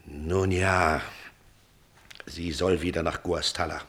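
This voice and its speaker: Grave older father, slow and low. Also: steady tone, a bit bright, heavily acted. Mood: anguished. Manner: grave, formal, weighty, bitterly ironic. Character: proud, anguished older father, bitterly restrained. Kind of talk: German classical radio drama acting.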